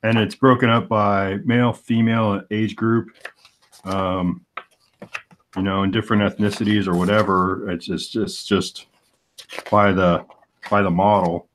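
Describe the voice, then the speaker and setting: Male comic artist, forties, very low voice, thoughtful and searching delivery in casual stream chat. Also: little pitch movement, measured pace, long pauses, a bit bright, a little hiss or hum. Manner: thoughtful, searches aloud for the right words.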